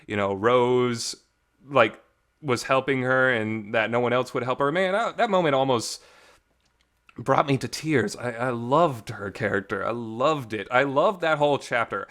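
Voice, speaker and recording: A man with a mid-pitched voice talks in a casual, rambling way, like a devoted fan hosting his own review show. He is informal and emphatic, leaning into his enthusiasm. The recording carries some reverb. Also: unhurried, a bit bright. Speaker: host of a visual novel talk show.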